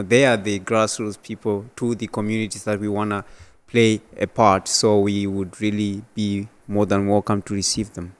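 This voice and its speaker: A man's voice: fairly low pitch, clear, resonant tone. A man answering as an interview guest, explaining things plainly. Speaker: project coordinator, interview guest.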